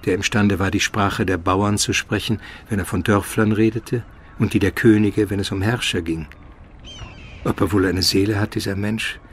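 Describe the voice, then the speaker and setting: A man's voice: lowish voice, slight background noise, lightly acted. A man acting in a radio drama as an educated, skeptical chronicler, reflecting in formal, contemplative inner monologue.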